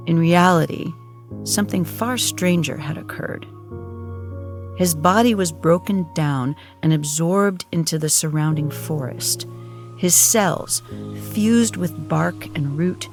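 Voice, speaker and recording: A woman narrating an audio drama in a solemn, measured storytelling manner. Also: low-pitched, creaky.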